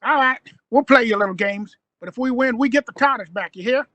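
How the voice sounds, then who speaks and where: A woman's voice: a little high, a little fast, fairly bright tone, no room sound, close to an everyday voice. A woman playing a brash, impatient young male traveller in an audio drama, blunt and defiant in manner.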